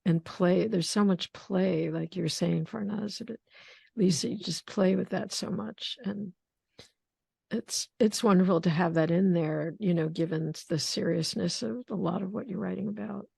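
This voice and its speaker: A woman hosting a poetry conversation over a video call, thoughtful and searching, with many pauses and restarts. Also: fairly low pitch, gravelly, warm tone, breathy edge, dry recording. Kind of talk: casual video call discussion.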